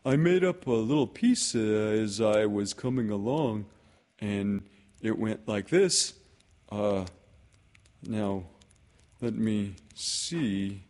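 A sweet, slow-witted little toy bear from a children's storybook. He is hesitant and earnest, plodding along at a very unhurried pace. The pitch wanders widely as he speaks. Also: animated, a little mellow. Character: slow, simple-minded, good-natured little toy bear.

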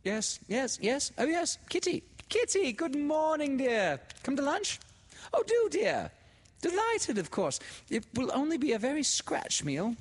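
A man voicing an excitable, gushing, well-to-do teenage girl in a storybook reading, breathless and eager. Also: very high-pitched, a little slow, big-room sound, voice pushed up, heavily acted. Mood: gushing, delighted.

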